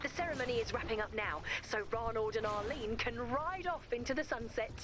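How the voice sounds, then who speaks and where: Woman playing a high-voiced, breezy builder girl. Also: a little fast, background noise, close to an everyday voice. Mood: cheerful, satisfied. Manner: breezy, self-assured. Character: chirpy young woman builder, proud self-styled matchmaker. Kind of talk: animated video game voice acting.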